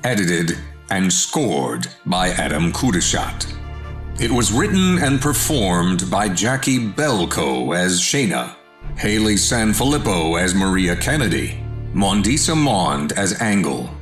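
A man's voice: low-pitched, sing-song, slow pace. A man reading a scripted voiceover as an announcer. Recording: roomy echo with faint music.

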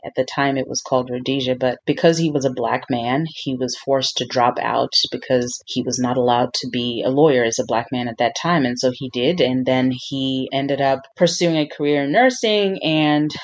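A woman's voice: a bass voice, dry recording, lo-fi sound. Earnest Zimbabwe-born woman lawyer, dry sound. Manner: earnest, proud, reflective. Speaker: guest, lawyer. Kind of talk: interview conversation.

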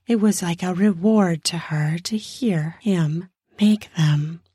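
A woman narrates erotic fiction in a lowish, husky voice. She takes her time, lingering on words and stressing them. The sound is close and dry. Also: takes it slowly, mellow, no echo. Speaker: narrator of an erotic audio story. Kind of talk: erotic story narration with acted dialogue.

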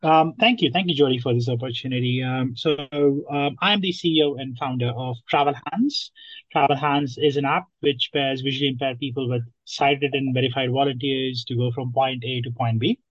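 A man's voice: medium pitch, steady tone, moderate pace, husky, no echo, muffled recording. A young man from Nepal, a guest on a podcast, speaking hesitantly with many ums and restarts. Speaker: guest, app founder. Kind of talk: podcast interview.

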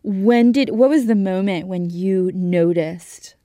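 A woman's voice: mid-range voice, slow pace, warm tone, no room sound. A woman hosting a news radio program, narrating and interviewing in a conversational manner. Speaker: guest radio host.

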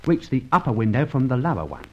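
A man's voice: medium pitch, big pitch swings, quick pace. A man playing a cheeky working-class sidekick in an old radio serial, chatty, colloquial and jocular. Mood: cocky. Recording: dull, lo-fi old radio recording.

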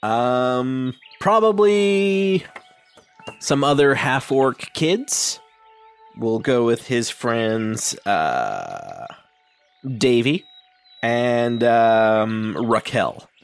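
A man chatting casually as a tabletop player, his gravelly voice rising and falling a lot. He explains things loosely and fills gaps with "uh", with faint music behind. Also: medium pitch, very animated, very slow. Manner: casual, filler-heavy explanations.

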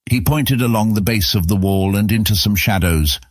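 A man's voice: on the low side, moderate pace, breathy edge, no room sound, clean studio sound. A man narrating a scripted fantasy story as an audiobook narrator.

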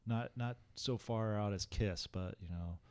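A man co-hosting a casual podcast, talking in a halting, self-deprecating way. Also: fairly low pitch, a little mellow. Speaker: podcast co-host.

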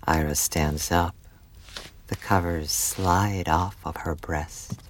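A man narrating a scripted audio drama deliberately, with dramatic pauses. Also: sits low, steady tone, slight background noise. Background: music.

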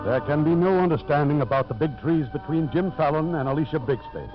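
A man's voice: on the high side, a little fast. A man hosting and narrating a scripted 1950s radio drama. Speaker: radio host and producer. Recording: muffled 1950s radio recording. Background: music.

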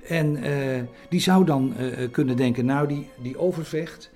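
A man talking reflectively in an interview, hesitant and halting, often repeating his phrases. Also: medium pitch, darkish tone, faint music behind.

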